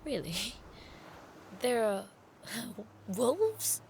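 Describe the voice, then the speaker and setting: Young noblewoman, very high voice, arch, teasing, audio drama, faint background noise. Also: very animated, drawn-out words, creaky, darkish tone, full character voice. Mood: amused. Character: young noblewoman student, sardonic, teasing, sharp-witted.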